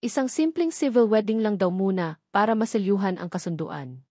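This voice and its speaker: Woman, story narrator, scripted first-person drama, medium pitch, dry sound. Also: animated, moderate pace, darkish tone, no room sound.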